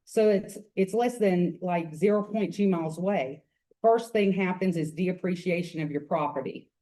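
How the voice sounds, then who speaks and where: A woman's voice: on the low side, normal speed, warm tone. A woman with a Memphis, Tennessee accent giving earnest, rambling public comment at a hearing, speaking into a microphone. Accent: Southern US, Memphis Tennessee. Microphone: podium microphone.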